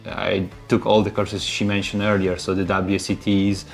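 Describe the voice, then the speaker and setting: Deep-voiced man co-hosting. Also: flat delivery, moderate pace, faint music behind. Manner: plain, slightly hesitant with fillers. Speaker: co-host, tech side. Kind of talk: casual presentation to camera.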